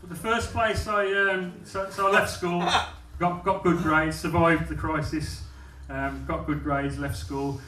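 A man's voice: fairly high pitch, fairly even intonation, a little slow, hardly any pauses, bright, forceful tone, far from the mic, a little hiss or hum. A man, telling a blunt, self-mocking stand-up story on a comedy club stage into a handheld mic. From England. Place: comedy club stage, small venue with live audience. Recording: echoey room, mic off-axis, audience laughter.

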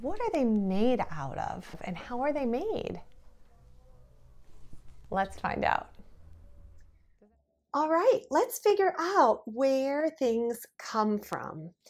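A woman's voice: high voice, darkish tone. A middle-aged woman, a preschool teacher, speaking in simple phrases and questions as in a children's lesson. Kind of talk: children's lesson and read-aloud.

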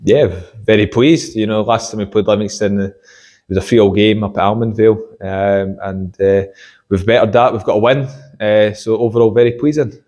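A young man, an assistant football manager, giving a measured post-match interview with many fillers, in a stadium corridor. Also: lowish voice, fairly even intonation, a little mellow. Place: corridor by a sports changing room.

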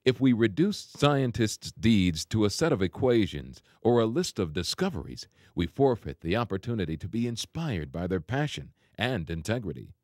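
A man giving scripted documentary narration in a smooth, measured delivery. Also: fairly low pitch, very animated, darkish tone, dry recording, clean studio sound. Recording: voice-over with clear music bed.

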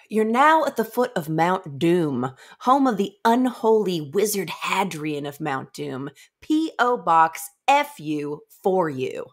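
A woman's voice: on the low side, sing-song, slow, exaggerated voice. A woman voicing a brusque, sardonic female dungeon master with dramatic announcements and dry retorts.

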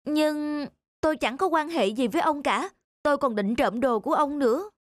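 A woman voicing an anxious, plaintive young man down on his luck in an animated moral story, with music behind. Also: sits high, moderate pace, no room sound, clean studio sound, lightly acted. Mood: nervous, guilty.

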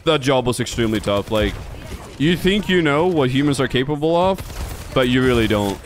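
Young man from Sweden, native Swedish speaker, game streamer, casual and profane, talks straight to chat, mid-pitched voice. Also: lively intonation, moderate pace, a little hiss or hum. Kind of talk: casual chat while playing.

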